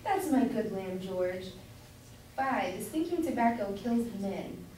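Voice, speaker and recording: A woman's voice: medium pitch, lively intonation, slow, mellow. A woman playing a simple, opinionated, doting grocer's wife, gossipy and exclamatory, in a staged reading of a period comedy. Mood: scolding. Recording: echoey room from far off, faint noise.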